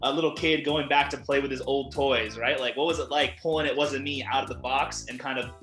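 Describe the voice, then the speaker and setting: A man's voice: mid-range voice, fairly even intonation, brisk, fairly bright tone. A man interviewing a guest, asking questions in a friendly, hesitant way with many fillers. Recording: roomy echo, faint music.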